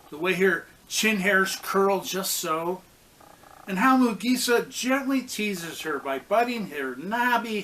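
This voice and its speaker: A man, a pastor, reading a children's story aloud in a deliberate, expressive way with pauses. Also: on the high side, slight background noise, rough recording.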